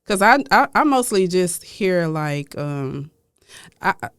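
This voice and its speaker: A man hosting a podcast, asking casual questions and backing the guest up with quick yeahs and rights. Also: high voice, lively intonation, a bit bright, clear, resonant tone, no room sound, clean studio sound. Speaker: podcast host.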